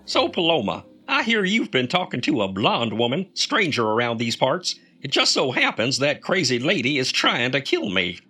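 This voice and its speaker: An actor playing a wry, tough, folksy trucker who works as an amateur detective in a comedic audio drama. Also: male voice, mid-range voice, huge pitch swings, normal speed, few pauses, pushed and bright. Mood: wry, probing.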